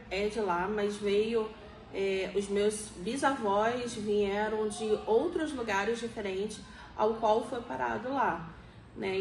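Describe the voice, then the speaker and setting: A woman of forty with a Rio de Janeiro accent gives conversational interview answers in a fairly high voice. She explains things and often seeks agreement. The room is echoey, with faint noise behind her. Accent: Rio de Janeiro Brazilian Portuguese. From Rio de Janeiro, Brazil. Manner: explanatory, frequently seeks agreement with tag questions.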